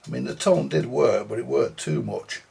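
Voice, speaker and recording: A man's voice: medium pitch, normal speed, a little mellow. A man giving casual, muttered, thinking-aloud commentary as he repairs audio equipment at a workbench. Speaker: vintage audio repairer presenting a workbench video. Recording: clear background noise, clicks.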